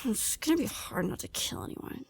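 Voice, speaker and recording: A woman's voice: fairly high pitch, wildly swooping pitch, normal speed, creaky, heavy background noise, full character voice. An actress playing a small, hot-tempered female field agent, venting sulkily and indignantly in an audio drama monologue. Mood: resentful, frustrated. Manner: sulky, sweary, indignant venting.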